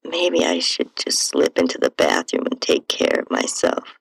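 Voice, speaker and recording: A woman with a breathy, husky, creaky voice reading a first-person story in an intimate, sultry, drawn-out narration style. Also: very deep voice, wildly swooping pitch, no room sound, low-quality recording. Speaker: podcast host and narrator.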